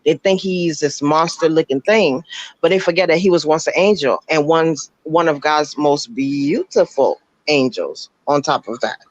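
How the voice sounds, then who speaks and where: A woman calling into a live show, her voice raspy from just waking up, talking earnestly with halting restarts. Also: low-pitched, dry recording. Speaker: caller. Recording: dull, dry call-in line.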